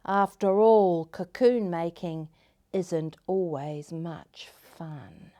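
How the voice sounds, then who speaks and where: A woman storyteller hosting a story time, reading to children in a gentle, expressive way with deliberate phrasing. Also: fairly low pitch. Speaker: storyteller, host.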